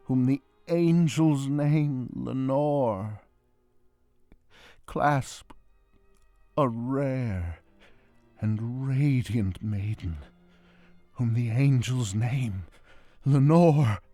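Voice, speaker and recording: A man's voice: mid-range voice, extremely slow, pauses often, warm tone, no echo, pitched above the performer's own voice. A man performing a grief-maddened, desperate gothic narrator in impassioned, theatrical verse. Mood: desperate pleading. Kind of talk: dramatic gothic poetry performance. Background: string quartet music.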